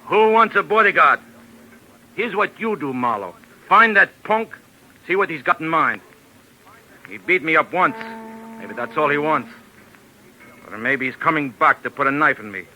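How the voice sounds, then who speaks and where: A surly dockside tough guy in a 1940s radio drama, with a hard, piercing, fairly high male voice. He talks in blunt, bullying bursts and rough grammar, on an old radio recording. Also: lively intonation, normal speed, long pauses, pushed and bright, slight background noise, low-quality recording. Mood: suspicious, aggrieved. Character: heavyset, surly, hot-tempered waterfront boss.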